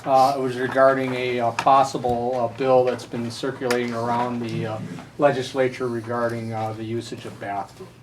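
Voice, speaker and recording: A school board member speaking hesitantly, with many filler sounds. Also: male voice, mid-range voice, flat delivery, moderate pace, noisy background.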